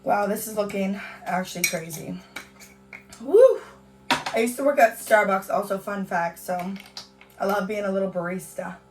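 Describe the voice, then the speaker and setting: A young woman from Canada talks through a casual home vlog, bubbly and chatty and peppered with "like" and "you guys." Her voice is hoarse from a cold. She sits a little back from the mic, with faint music playing beneath her. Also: medium pitch, moderate pace, far from the mic. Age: young adult. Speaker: beauty vlogger. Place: at home on a hot day.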